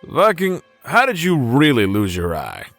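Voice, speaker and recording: A man's voice: mid-range voice, huge pitch swings, measured pace, a bit bright, soft background music, full character voice. A man voicing a laid-back outlaw gunslinger with a dry, teasing manner in a fantasy drama. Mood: wry, casual.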